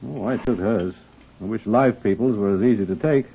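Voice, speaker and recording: A man's voice: fairly low pitch, normal speed, gravelly, husky. An actor playing a methodical, dry-witted police fingerprint man in an old radio drama. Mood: dry, busy. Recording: muffled, band-limited vintage radio recording.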